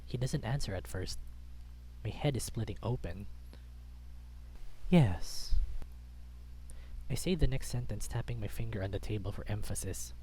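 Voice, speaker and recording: A man's voice: fairly low pitch, fairly quick, dark tone, slight background noise, rough recording. A man reading a visual novel aloud as dramatic first-person story narration.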